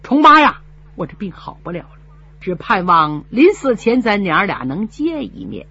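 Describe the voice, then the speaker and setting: A woman voicing a gravely ill old mother, speaking weakly and tearfully in a spoken story. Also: mid-range voice, sing-song, moderate pace, sharp tone, dull audio, heavily acted. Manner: weak, pleading, tearful.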